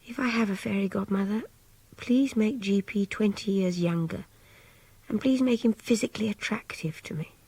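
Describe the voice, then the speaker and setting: Young captive woman, English accent, radio drama, confiding, reflective, breathy, some fry, dry intimate sound. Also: medium pitch, normal speed, dark tone, dry recording, low-quality recording. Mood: wistful. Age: young adult. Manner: intimate, confiding, often dropping towards a whisper. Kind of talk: radio drama interior monologue.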